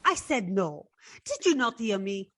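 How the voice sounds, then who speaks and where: A woman's voice: medium pitch, sing-song, heavy background noise. Woman playing a dramatically swooping, proud young Frenchwoman. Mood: indignant. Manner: haughty, emphatic, deliberate. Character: proud, indignant young French woman, defiant femme fatale. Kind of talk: old-time radio detective drama acting.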